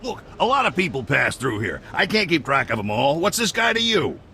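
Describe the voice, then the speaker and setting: Wildly animated, gravelly cantina local. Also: male voice, a little high, fairly quick, a little hiss or hum, heavily acted. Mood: defensive. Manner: animated, colloquial. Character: flustered, evasive male cantina local telling tales. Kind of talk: video game voice acting.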